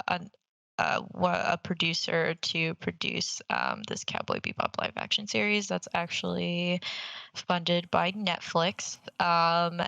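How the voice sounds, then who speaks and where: A woman chats casually as a podcast co-host. Her voice is bright and forward but fairly low, with a noticeable creak. She stresses points by repeating words and drops in casual swearing. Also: no room sound.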